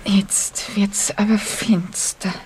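A woman's voice: medium pitch, little pitch movement, very slow, a little mellow, poor audio. An actress playing a demure, devoted and naive young small-town woman in a radio play, hesitant and often half-whispering. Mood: coy.

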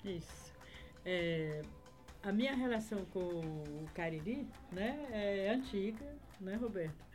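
A woman's voice: mid-range voice, animated, slow, a little mellow, soft background music. A woman from Acre, Brazil, a podcast guest, reminiscing in a rambling way with many fillers and tag questions. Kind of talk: casual conversation, reminiscing.

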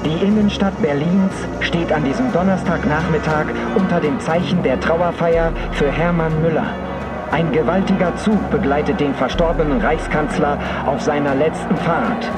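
A man's voice: on the high side, natural voice. A man voicing a solemn 1930s radio reporter, giving formal, declamatory live commentary. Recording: loud music and outdoor street sound.

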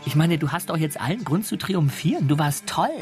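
A man's voice: on the high side, big pitch swings, brisk, soft background music. An actor playing a brooding, anxious, dreamy male drifter in a radio drama, speaking in an earnest, introspective way. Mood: tentative.

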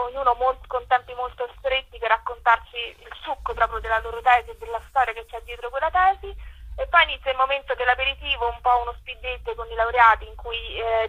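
A woman's voice: fairly high pitch, breathy edge, lo-fi sound. A woman speaking as a guest in a radio interview, explaining her project in enthusiastic, long flowing sentences.

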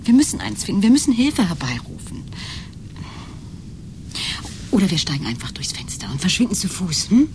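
Ängstlich drängende Frau, gedämpft. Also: female voice, medium pitch, big pitch swings, moderate pace, pauses often, noisy background. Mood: urgent, hushed. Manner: hushed, pressing, sometimes whispering. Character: anxious, urgent woman pleading to escape. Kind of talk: German radio play acting.

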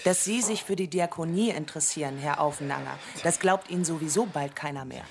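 An actress playing a composed, forthright deaconess in a radio drama. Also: female voice, low voice, fairly quick, slight background noise. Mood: teasing, curious.

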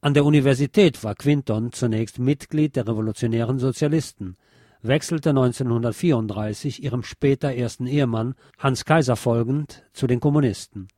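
A man's voice: medium pitch, moderate pace, warm tone, dry recording, studio quality. A man reading a scripted history documentary as narrator. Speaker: narrator of a history radio series.

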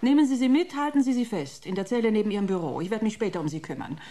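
A woman's voice: medium pitch, animated, rapid-fire, warm tone, lightly acted. An actress playing an icy, commanding female executive in a radio play, giving curt, dismissive orders. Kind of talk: German radio play acting.

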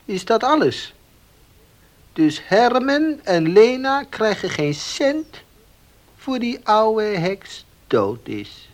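A village baker from a radio play, a schemer who talks with sly deliberation. He is gruff and bossy with those beneath him and can put on humility when it suits him. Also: high voice, very animated, extremely slow, heavily acted. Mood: guarded, dismissive. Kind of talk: Dutch radio play acting.

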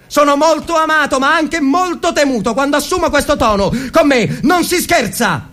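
A man's voice: very high-pitched, moderate pace, sharp tone, voice pushed up. A man playing a stern, sardonic army lieutenant in a wartime trench drama, commanding his soldiers with sarcastic rhetorical questions.

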